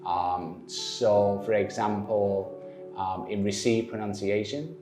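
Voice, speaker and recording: Interviewee: man with a Manchester accent, lower voice, echoey room, faint music beneath. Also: steady tone. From northern England, Manchester area. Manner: measured, frequent "um" pauses. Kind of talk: explaining in an interview.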